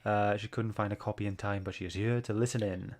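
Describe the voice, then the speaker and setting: A man's voice: lowish voice, steady tone, fast. A man hosting a book club livestream, chatting informally and greeting viewers. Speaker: host.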